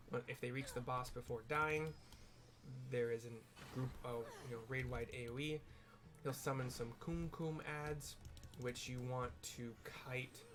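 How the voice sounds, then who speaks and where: A man giving casual, rambling gameplay commentary, explaining and describing what happens as he plays. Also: mid-range voice. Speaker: video game streamer and narrator.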